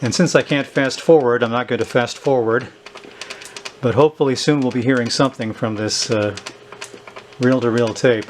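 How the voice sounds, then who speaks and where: A man giving an unscripted, halting demonstration of equipment, thinking aloud as he handles it. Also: medium pitch, steady tone, a little hiss or hum. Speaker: host demonstrating a vintage tape recorder. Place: indoors at a tabletop.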